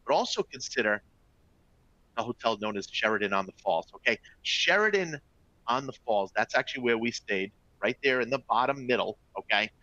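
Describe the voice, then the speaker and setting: A man's voice: medium pitch, sharp tone, no room sound, lo-fi sound. A middle-aged man with a Boston accent presents a live webinar to travel agents, upbeat and chatty, often checking in with "all right?" and "folks". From Boston area, US.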